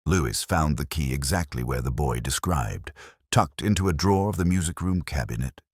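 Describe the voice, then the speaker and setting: A very low male voice, dry and close, with a lot of movement in its pitch. a weary police inspector in an audio mystery drama, recounting his case in measured, literary phrases with a brooding, reflective air. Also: animated, husky, no echo, full character voice. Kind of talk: scripted first-person mystery narration.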